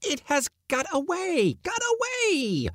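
A man's voice: on the high side, sing-song, slow pace, darkish tone, no room sound, studio quality, pitched above the performer's own voice. A man voicing an irascible middle-aged male Latin schoolmaster who blusters and scolds in a raised, strained voice. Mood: furious.

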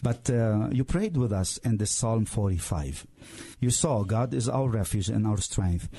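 Man hosting a faith-based interview; low, dark, husky voice, hesitant and full of fillers.

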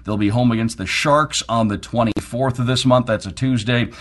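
A man's voice: medium pitch, fairly even intonation, moderate pace. A man hosting a sports radio show in an upbeat talk style.

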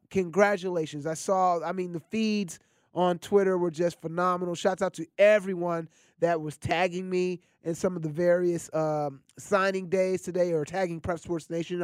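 A man hosting a sports radio show in a studio, chatty and energetic, his voice scratchy and nasal from a cold. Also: high-pitched, clean tone, no echo. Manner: energetic, chatty, lots of shout-outs. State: congested, scratchy voice. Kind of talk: casual sports radio talk.

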